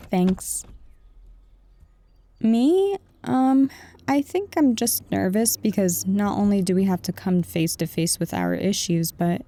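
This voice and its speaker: A woman's voice: mid-range voice, dark tone, natural voice. A woman playing a defensive but affectionate girlfriend in an intimate audio roleplay, conversational and sarcastic, talking to a partner who is not heard. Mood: nervous, candid. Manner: conversational, exasperated, sarcastic, addressing an unheard partner.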